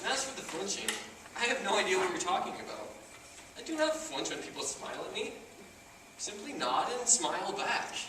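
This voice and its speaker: A man's voice: sits high, huge pitch swings, fairly quick. A man playing an uptight, socially awkward man in a stage comedy, defensive and stiff, with precise wordy phrasing. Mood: defensive, indignant. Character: Steve, uptight socially awkward man guarding his personal space. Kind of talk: stage comedy acting, projected. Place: theater stage, recorded from the audience distance. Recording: echoey theater, far mic, noisy.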